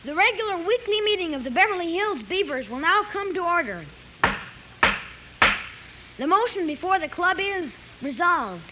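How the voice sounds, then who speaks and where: A woman's voice: very high-pitched, sing-song, normal speed, long pauses. A woman playing a bossy, self-important young boy who runs a club, in 1950s radio comedy style. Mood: officious, pompous. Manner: officious, pompous. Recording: noisy clicking old 1950s radio recording.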